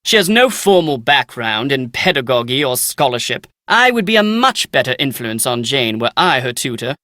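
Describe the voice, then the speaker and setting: A man's voice: medium pitch, big pitch swings, normal speed, bright, forceful tone, no room sound, clean studio sound. A man playing a pompous, precise British scholar in a video game. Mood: uneasy, disdainful. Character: pompous, nervous British male scholar writing his memoirs. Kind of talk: scripted video game dialogue.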